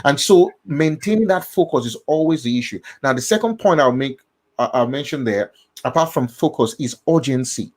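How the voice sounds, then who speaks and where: A man's voice: medium pitch, animated, normal speed. A middle-aged man with a Nigerian accent, hosting a talk and explaining ideas about the brain, repeating key phrases and checking in with his listener. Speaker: host.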